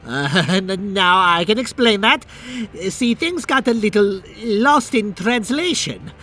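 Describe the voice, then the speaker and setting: A man voicing a bright, nervous, stammering cartoon young man. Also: sits high, animated, slow pace, soft background music, heavily acted. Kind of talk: fan comic dub voice acting.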